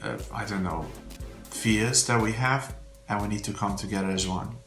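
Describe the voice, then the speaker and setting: Low-voiced man, earnest. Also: normal speed, fairly bright tone. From Romania. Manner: heartfelt, faith-filled, slightly non-native phrasing. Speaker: church member giving a testimonial. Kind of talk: earnest unscripted testimonial. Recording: roomy echo with faint background music.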